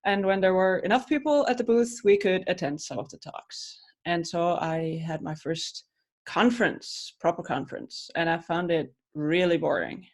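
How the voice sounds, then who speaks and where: A woman's voice: lowish voice, normal speed. A woman from Switzerland in her thirties, co-hosting a casual podcast chat and telling stories with frequent restarts. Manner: chatty, storytelling with restarts. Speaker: podcast co-host, coach.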